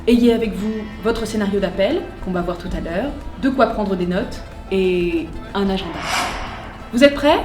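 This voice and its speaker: A female presenter gives scripted, playful instruction. Her voice sits at an average pitch, and she is direct, conversational and wry. The room echo is noticeable, with light music underneath. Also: normal speed. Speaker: presenter of job-search tutorial.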